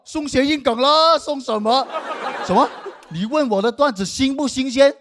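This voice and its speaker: A man's voice: very high voice, normal speed, noisy background, voice pushed up. A man on stage putting on a brash, cocky market stall boss giving a hard sell. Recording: live stage mic with audience laughter.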